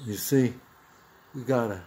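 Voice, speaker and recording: A man in his seventies talking casually and plainly to viewers as he shows gear, halting and rambling, often repeating himself. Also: medium pitch, dark tone, a little hiss or hum. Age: 70s. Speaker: video host.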